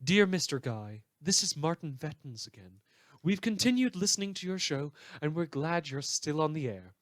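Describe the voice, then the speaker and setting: A man's voice: fairly high pitch, lively intonation, normal speed, dry recording, natural voice. A man playing a sardonic villain who hosts a post-apocalyptic survivalist radio show, with a theatrical, sarcastic delivery. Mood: reading aloud. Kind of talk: fiction podcast as in-character radio monologue.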